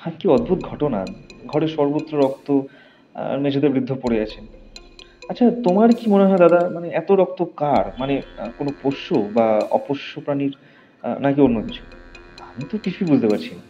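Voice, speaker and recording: A man's voice: mid-range voice, expressive, normal speed, long pauses, warm tone, soft background music, lightly acted. A man playing an earnest, puzzled younger brother who assists a detective, hesitantly thinking aloud. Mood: puzzled, reasoning. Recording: dull recording with faint noise.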